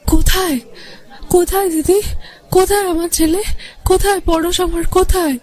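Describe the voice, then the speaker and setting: A woman's voice: very high-pitched, fairly even intonation, normal speed, a little mellow. A woman playing an anxious, pleading village mother searching for her missing son in an audio drama. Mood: frantic. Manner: pleading, anxious, urgent questioning. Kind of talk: Bengali audio horror drama acting.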